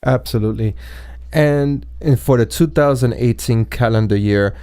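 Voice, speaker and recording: A man hosting a podcast interview, speaking in formal wording with a halting delivery. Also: mid-range voice, dark tone, smooth, dry recording, clean studio sound.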